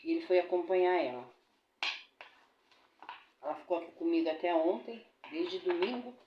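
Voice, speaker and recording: A woman's voice: lowish voice, moderate pace. A middle-aged Brazilian woman with a flu, chatting casually to her viewers from her home kitchen while washing dishes. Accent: Brazilian Portuguese. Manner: chatty, addresses viewers as "gente", religious blessings. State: recovering from a bad flu. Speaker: vlogger. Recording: roomy, dull, noisy kitchen recording.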